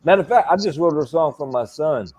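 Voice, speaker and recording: Obsada: mężczyzna po czterdziestce, swobodny gawędziarz, dość wysoki głos, dużo śmiechu i przekleństw. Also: male voice, a little high, lively intonation, fast, darkish tone, breathy edge. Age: 40s. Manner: loose, laughing storyteller, swears freely. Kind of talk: casual chat.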